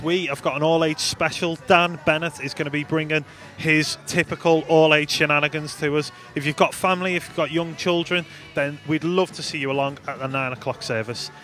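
Young man, bright forceful voice, playful presenter, handheld mic, faint music behind. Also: on the high side. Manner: upbeat, playful. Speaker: church news presenter. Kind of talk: scripted church announcements. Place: studio in front of a green screen.

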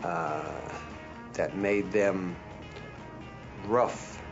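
A man giving a thoughtful, measured documentary interview. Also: low-pitched, dull audio, music in the background.

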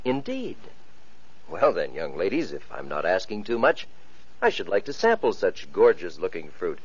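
An actor playing a courteous, formal prince in an old radio play. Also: male voice, deep voice, huge pitch swings, normal speed, breathy edge, highs cut off, exaggerated voice. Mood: gallant. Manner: gallant, formal. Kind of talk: 1940s radio acting.

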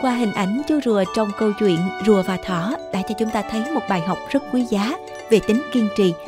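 A woman's voice: mid-range voice, a little fast. A woman reads a children's story as its narrator. Her delivery is scripted storybook narration, with music playing behind her. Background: background music.

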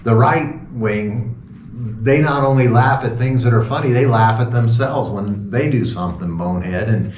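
A man in his sixties speaks as a pastor in an informal, rambling sermon style with a sarcastic edge. His medium-pitched voice is recorded at some distance from the mic, with clear room echo. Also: normal speed, darkish tone, a little hiss or hum. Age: 60s. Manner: sarcastic, rambling, chuckling asides. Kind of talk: informal sermon talk with asides. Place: small wood-paneled room indoors.

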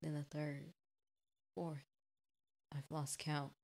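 A woman doing a let's play, reading a visual novel aloud and exclaiming expressive reactions. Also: deep voice, slow, gravelly. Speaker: gaming streamer. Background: game music.

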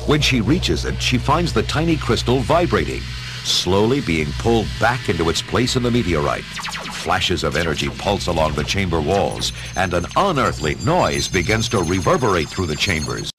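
A man narrating an adventure story in a measured, dramatic scripted style. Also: deep voice, big pitch swings. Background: dramatic music.

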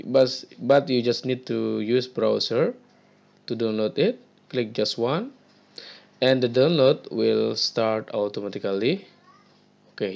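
Gravelly young Indonesian man, slow halting tutorial. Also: medium pitch, lo-fi sound. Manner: halting, repeats words, many "okay" and "uh" fillers. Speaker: tutorial presenter. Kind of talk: screen-recorded gaming tutorial. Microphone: headset.

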